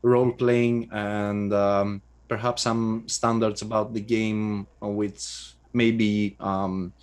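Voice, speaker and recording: A man from Greece with a Greek accent, a guest in an interview, speaking English thoughtfully with many pauses. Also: on the low side, flat delivery, a little mellow, clean tone. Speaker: guest, adventure writer. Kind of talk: casual interview answers.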